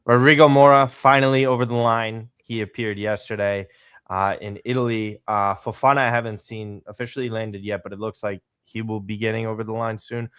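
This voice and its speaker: A man hosting a casual podcast interview, energetic and rambling as he asks his questions. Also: on the low side, a little fast, clear, resonant tone, no room sound, clean studio sound. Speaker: podcast host.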